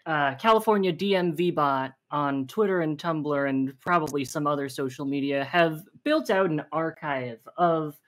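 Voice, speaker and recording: A woman's voice: sits low, moderate pace, few pauses. A woman hosting a casual livestream game show, explaining the rules at length with many fillers.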